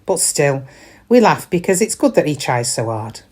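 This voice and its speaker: An actress playing a middle-aged British shop worker in a confiding, wry, conversational monologue, kind but quietly weary. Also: female voice, low voice, sing-song, normal speed, slightly rough, full character voice. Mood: wry, chatty. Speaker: actor in a dramatic monologue.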